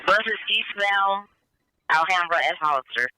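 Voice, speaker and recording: A man dispatches over police radio in formal, procedural phrases. His voice is fairly high for a man, extremely crisp and very sharp. Each report is relayed concisely, as a broadcast to units. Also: lively intonation, moderate pace, dry recording, rough recording. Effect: police radio transmission.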